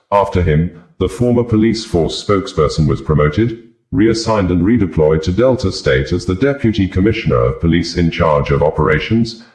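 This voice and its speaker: A man's voice: deep voice, darkish tone. A man reading scripted news in a formal, long-winded way. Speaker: news reader, podcast host. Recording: roomy, echoing voice recording.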